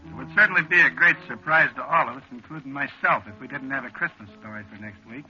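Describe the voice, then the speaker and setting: A man's voice: medium pitch, fast talker. A man, a radio writer-director, giving a dry, understated announcement in 1940s broadcast style. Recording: muffled vintage radio broadcast with music. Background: music.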